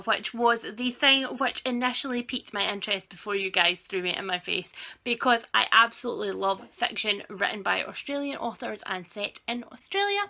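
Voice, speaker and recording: A young woman with a congested, croaky voice from sinus trouble, chatting enthusiastically through a book haul video. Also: a little high, pushed and bright. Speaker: book vlogger.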